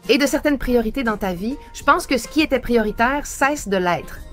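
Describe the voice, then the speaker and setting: High-voiced woman chatting on a bike trip. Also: animated, moderate pace, fairly bright tone, soft background music. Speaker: riding companion. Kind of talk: casual chat.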